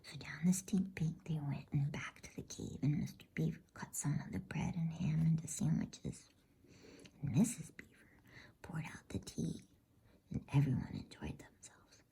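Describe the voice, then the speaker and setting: Female ASMR storyteller, soft whisper with plenty of air, dark low register, soothing and gentle. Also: normal speed, slightly rough. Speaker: host and bedtime story reader.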